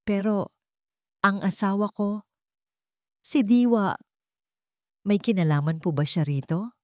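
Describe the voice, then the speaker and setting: A woman's voice: mid-range voice, lively intonation, slow pace, mellow, no room sound, studio quality. A woman voicing a polite, confused working-class man in an audio romance, pleading, with a Filipino accent. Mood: hesitant, questioning.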